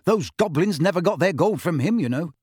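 A man's voice: high voice, lively intonation, fairly quick, a little mellow, husky, dry recording, studio quality, voice pushed up. A man voicing a casual, matter-of-fact young wizard with an informal manner in an audiobook.

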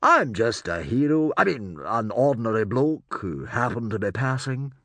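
A man's voice: medium pitch, very animated, normal speed, fairly bright tone, no room sound, pitched above the performer's own voice. A man voicing a self-important middle-aged Viking hero, breezy, protesting and easily exasperated, in a storybook. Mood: breezy, hurried.

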